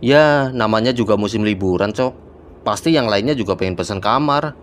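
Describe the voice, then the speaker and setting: A man's voice: lowish voice, a little fast, a little hiss or hum, close to an everyday voice. A man voicing an easygoing, slightly boastful young man in a cartoon, speaking casual, slangy Jakarta Indonesian in a matter-of-fact way. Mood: casual, reassuring. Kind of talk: colloquial cartoon dialogue.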